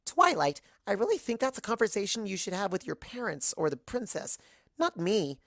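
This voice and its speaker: A bouncy young storybook mare, played by a narrator, pitched up high. The delivery is chirpy and scatterbrained, cheerfully oblivious, with a dry close sound. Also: big pitch swings, normal speed, creaky, fairly bright tone, no room sound. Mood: earnest, flighty. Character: bubbly, scatterbrained, hyperactive young mare pony.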